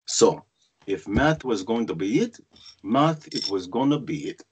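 A man's voice: mid-range voice, lively intonation, unhurried, a little hiss or hum, natural voice, rough recording. A man playing an ambitious, wry young Egyptian immigrant freshman, narrating his own story in a screenplay table read over a video call. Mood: confident, musing. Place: indoors at home, group video call. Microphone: computer or headset on video call.

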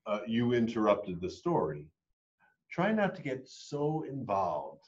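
A man voicing a patient, weary father chicken who scolds gently in a storybook. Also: mid-range voice, sing-song, slow, lo-fi sound. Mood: weary, scolding.

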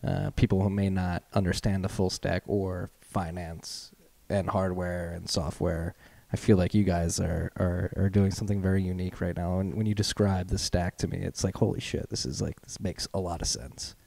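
A man's voice: sits low, steady tone, moderate pace, vocal fry, hardly any pauses, dark tone, no echo. A man hosting a casual podcast interview, talking loosely with lots of fillers. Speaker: podcast host.

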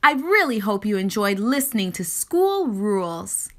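A woman reading a children's story aloud in expressive narration, with deliberate, theatrical emphasis. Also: medium pitch.

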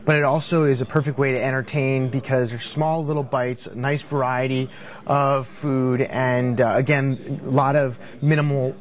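A man in his late twenties, a chef guest chatting easygoingly through a cooking demo on a studio kitchen set, with a lapel mic and halting restarts. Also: medium pitch, steady tone. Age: late 20s.

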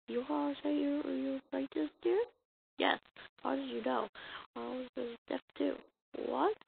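A woman reading a comic dub as an amateur voice actor, acting out the dialogue in a halting, stumbling way on a muffled, noisy recording. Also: high voice, gravelly. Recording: muffled lo-fi recording with noise and clicks.

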